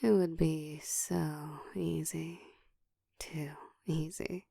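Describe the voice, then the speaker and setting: Low, slow, seductive vampire woman acting, half-whispered. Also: steady tone, no room sound, exaggerated voice. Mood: seductive, taunting. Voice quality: soft, breathy whispering mixed with low murmur. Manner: slow, teasing, commanding, often dropping into a whisper. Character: seductive, dominant ancient female vampire toying with prey. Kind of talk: intimate ASMR audio roleplay.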